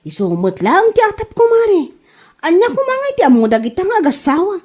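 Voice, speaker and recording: Woman playing village gossip, Ilocano accent, lively pitch swings, mellow tone, mocking, comedy drama. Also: a little fast, exaggerated voice. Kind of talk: comedy radio drama acting.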